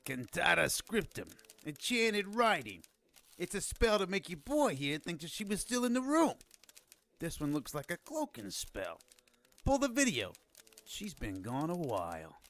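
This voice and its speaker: A man's voice: a little high, huge pitch swings, a little slow, fairly bright tone, full character voice. A man playing a cocky, sly wisecracker who knows magic, flippant and sardonic, in a radio drama. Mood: smug, teasing.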